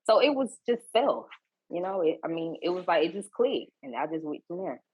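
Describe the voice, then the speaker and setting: Husky woman in her thirties. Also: fairly low pitch, brisk, no echo, rough recording. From Georgia, US. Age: 30s. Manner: relaxed, repeats words and restarts often. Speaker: guest, fitness coach. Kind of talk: casual podcast interview.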